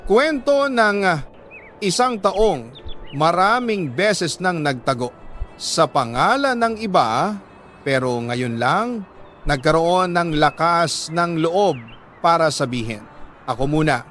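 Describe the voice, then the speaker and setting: A Filipino man reading a scripted, sentimental first-person love story in an earnest, deliberate way, like a radio storyteller. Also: a little high, animated, fairly bright tone, faint music behind. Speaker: radio-style storyteller reading a listener's letter.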